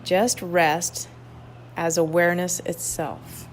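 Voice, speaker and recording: A woman guiding a meditation, reading instructions in an unhurried way with long pauses. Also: on the low side, level tone. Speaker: meditation guide at a wellness retreat. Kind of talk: guided meditation, read aloud softly. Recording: clearly noisy ambient background.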